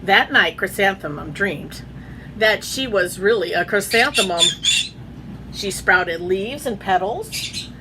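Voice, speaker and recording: A woman reading a children's picture book aloud in an expressive storytelling manner, chatting in asides to her pet. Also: medium pitch, slow pace, noisy background. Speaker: read-aloud host, teacher.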